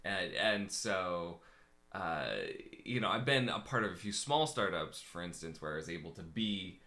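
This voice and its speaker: Low-voiced man musing in casual talk. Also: animated, moderate pace, bright, forceful tone. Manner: thoughtful, hesitant with many fillers. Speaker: co-host and coach. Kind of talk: casual conversation.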